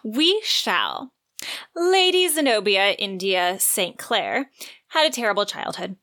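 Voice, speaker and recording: A woman's voice: medium pitch, expressive, slow pace, bright, forceful tone. A woman co-hosting a book podcast, moving between chatty discussion and reading from prepared notes. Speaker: podcast co-host. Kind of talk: book discussion, part read synopsis.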